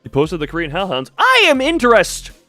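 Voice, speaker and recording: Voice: mid-range voice, wildly swooping pitch, a little fast, sharp tone, dry recording, soft background music. A game stream host chatting casually and rambling while reacting to chat. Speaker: livestream host.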